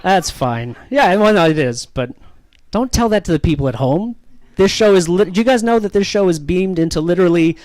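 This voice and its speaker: Higher-voiced Canadian stand-up comic man. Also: lively intonation, fairly quick, darkish tone. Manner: self-deprecating, ironic. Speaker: stand-up comedian. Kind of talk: stand-up comedy with audience banter.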